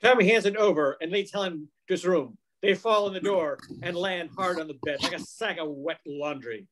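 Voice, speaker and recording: A man's voice: sits high, moderate pace, noisy background. A man in his sixties reading scripted narration as the narrator of an old-time radio mystery. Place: living room at home. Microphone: video call.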